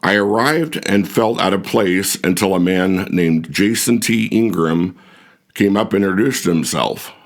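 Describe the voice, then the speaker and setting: A man narrating an audiobook foreword in a measured, even, scripted reading. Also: low-pitched. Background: faint music.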